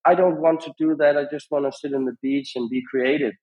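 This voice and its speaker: A man speaking as a podcast guest, giving conversational advice in a halting, rambling way with repeated phrases. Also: a little high, animated, gravelly, muffled recording. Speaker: podcast guest, music industry founder.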